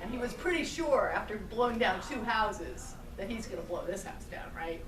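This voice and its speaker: A woman's voice: mid-range voice, lively intonation, normal speed, a crowd in the background. A woman telling a story live to young children in simple words, often prompting them with questions. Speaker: storyteller at a puppet show. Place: live show in a roomy space with children. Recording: roomy, distant mic, noisy live room.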